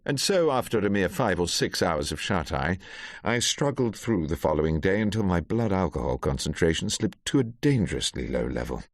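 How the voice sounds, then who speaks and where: A low-voiced, wry English barrister. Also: male voice, very animated, moderate pace. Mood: wry narration. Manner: dry, eloquent, theatrical. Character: sharp-witted, wryly grandiloquent English defence barrister. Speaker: narrator and lead character. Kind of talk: radio drama narration and dialogue.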